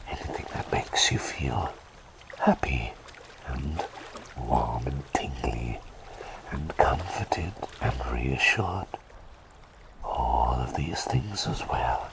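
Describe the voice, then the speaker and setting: A man playing a gentle, flustered plague doctor in a whispered ASMR roleplay, soothing his patient with halting, repeated reassurances close to the microphone. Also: extremely low pitch, creaky, full character voice, low-quality recording.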